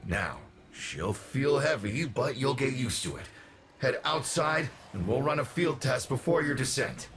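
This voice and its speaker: A man's voice: mid-range voice, expressive, measured pace, natural voice. A man playing an eager, tinkering father, the family's overlooked engineer, in a video game cutscene. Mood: helpful. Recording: loud music behind the voice.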